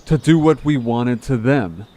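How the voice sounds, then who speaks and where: A man plays a scheming monster villain who leads his gang with slow, sarcastic condescension. He talks in an unhurried drawl, as in an audio drama. Birdsong and steady noise sit behind the voice. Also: mid-range voice, close to an everyday voice. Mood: mock aggrieved. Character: manipulative male Fleen gang leader, vengeful, sarcastic monster villain. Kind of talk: scripted audio drama acting. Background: birdsong, forest ambience.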